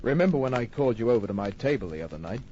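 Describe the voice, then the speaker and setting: An actor playing a tough, wry private detective in an old radio crime drama, laconic and dry, heard on an old muffled recording. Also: male voice, medium pitch, animated, racing, dark tone, a little hiss or hum, close to an everyday voice. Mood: dry, probing. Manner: laconic, dry, hard-boiled.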